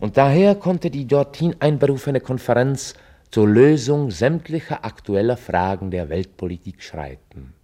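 Low, deadpan chronicler. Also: male voice. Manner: deliberate, formal, deadpan. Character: sober male chronicler of an absurd future war. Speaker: narrator. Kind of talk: scripted radio play narration.

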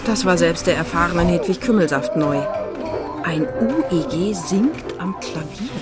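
A woman's voice: lowish voice, lively intonation, unhurried. A woman narrating a children's radio play in a scripted storytelling style. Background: music.

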